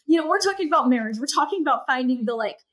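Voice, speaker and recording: A woman's voice: sits high, expressive, rapid-fire, a bit bright. A woman in her thirties, a podcast guest, earnestly telling a personal story and acting out her past thoughts.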